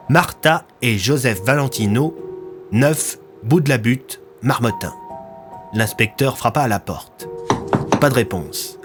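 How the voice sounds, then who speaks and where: A man narrates a scripted audio fiction. His voice sits at a middle pitch and has a storytelling delivery, with music underneath.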